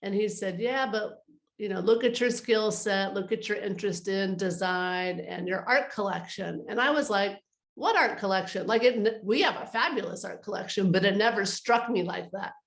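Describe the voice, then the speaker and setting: A woman's voice: mid-range voice, moderate pace, gravelly. A roomy, echoey recording of a middle-aged woman with a mid-range voice. She is a guest in an interview, explaining things in a casual, conversational way. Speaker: interview guest, artist advisor.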